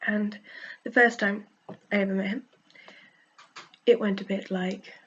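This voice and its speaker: A woman with a cold and a croaky voice, frankly venting in a casual vlog and laughing often. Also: medium pitch, steady tone, unhurried, fairly bright tone, lo-fi sound. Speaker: vlogger.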